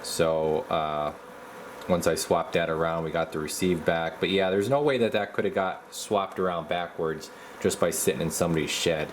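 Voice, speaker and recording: A man giving a casual, hands-on repair explanation as a video host, in a halting, folksy way, as if thinking aloud. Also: low voice, a little hiss or hum.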